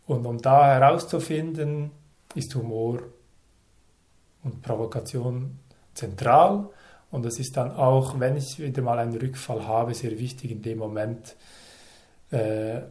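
Brief: man, 30s, interview guest, measured and reflective, phrase by phrase. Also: medium pitch, warm tone. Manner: thoughtful, measured, speaks in short phrases with pauses.

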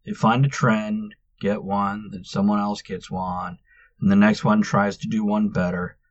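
A man's voice: fairly low pitch, flat delivery, a little slow, no echo, highs cut off. A man voicing the exasperated young Manx. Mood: sulky, weary. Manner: exasperated, insistent.

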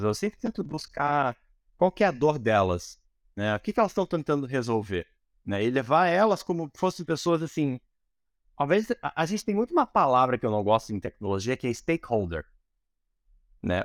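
A man's voice: mid-range voice, no room sound, clean studio sound. A man from Brazil with a Brazilian accent answers as an interview guest, explaining things conversationally and often checking in with small tag questions. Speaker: interview guest, product design manager.

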